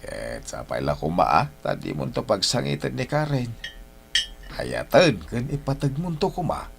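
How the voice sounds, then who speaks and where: Gravelly, caring old father. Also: medium pitch, wildly swooping pitch, normal speed, noisy background, heavily acted. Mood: solemn, caring. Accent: Ilocano. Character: Tata Ramon, solemn, caring older father. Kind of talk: Ilocano radio drama acting. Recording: radio drama with loud music bed.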